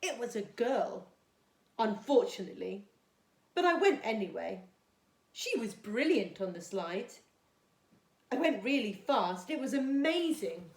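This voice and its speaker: A woman's voice: on the high side, big pitch swings, measured pace, pauses often. A woman voicing a lonely, polite, timid little boy from a storybook in an earnest childlike manner. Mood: shyly excited. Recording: echoey, roomy sound.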